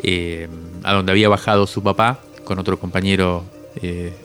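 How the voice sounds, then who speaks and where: A man in his forties from Cuba, speaking reflectively as an interviewed author, often halting as he searches for words. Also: deep voice, little pitch movement, fairly bright tone, soft background music.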